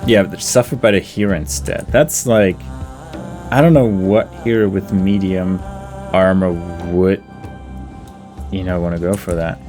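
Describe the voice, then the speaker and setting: A man with a fairly low voice gives casual commentary on a game he is playing. He thinks aloud, deliberating over choices and addressing himself and the characters. Music plays faintly behind him. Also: unhurried, pauses often, darkish tone. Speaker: gaming video host.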